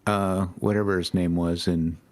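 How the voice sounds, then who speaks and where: A man's voice: sits low, flat delivery, normal speed, a little mellow. A man chatting casually on a panel with dry, nitpicking banter. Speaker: panel member. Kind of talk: casual chat.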